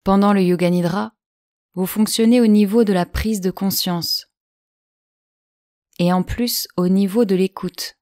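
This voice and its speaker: A woman guiding a yoga nidra relaxation in French, speaking slowly and calmly with long pauses. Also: mid-range voice, no room sound, clean studio sound. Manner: slow, calm, soothing delivery with long pauses. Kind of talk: guided relaxation instruction.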